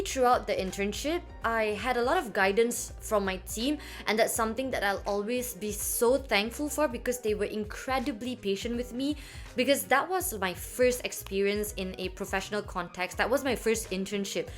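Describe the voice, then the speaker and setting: A young woman talking casually to camera about her work experience, filling her speech with "like" and "um". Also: fairly high pitch. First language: not French. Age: young adult. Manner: chatty, many "like" fillers. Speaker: vlogger.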